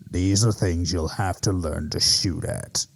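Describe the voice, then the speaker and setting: A man playing a jaded, fatherly griffin mentor in an audio drama, gravelly and patiently instructive. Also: low voice, measured pace, full character voice. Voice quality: gravelly, husky.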